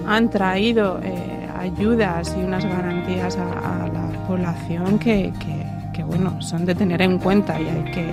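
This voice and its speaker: A woman in her fifties from Madrid, answering interview questions as a political candidate, often repeating words and restarting her phrases. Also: mid-range voice. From Madrid, Spain. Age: 50s.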